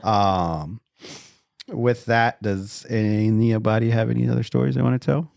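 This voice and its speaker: Man, deep voice, frank, loose, rambling host, dry sound. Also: steady tone, measured pace, creaky, a little mellow, dry recording. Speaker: podcast host. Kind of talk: casual chat.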